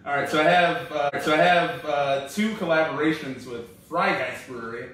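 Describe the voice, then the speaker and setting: A man hosting a comedy podcast, chatting casually while tasting beer, relaxed with lots of fillers. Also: fairly high pitch, normal speed. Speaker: comedy show host. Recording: roomy echo, from a distance.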